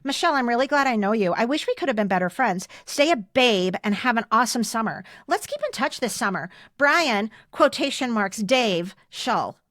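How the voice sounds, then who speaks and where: A woman's voice: a little high, expressive, normal speed, hardly any pauses, fairly bright tone, no room sound. Chatty American woman, dry close sound. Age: 50s. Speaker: podcast host. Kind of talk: casual chat, reading old yearbook messages aloud.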